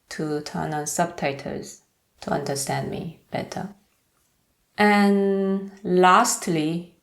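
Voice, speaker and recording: A woman's voice: low voice, vocal fry. A young woman with a Korean accent, talking to camera in a personal vlog with careful, hesitant delivery. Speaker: YouTuber.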